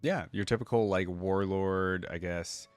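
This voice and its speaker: A man's voice: low-pitched, measured pace, clear, resonant tone, no room sound, soft background music. A man hosting a casual reaction commentary on game skins, thinking aloud in an informal way. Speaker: YouTube reaction host.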